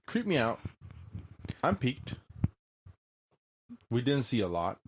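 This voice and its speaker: A man's voice: medium pitch, sing-song, slow, a little hiss or hum. Joking man co-hosting a podcast. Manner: laughs often, joking and loose. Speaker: podcast co-host. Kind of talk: casual chat.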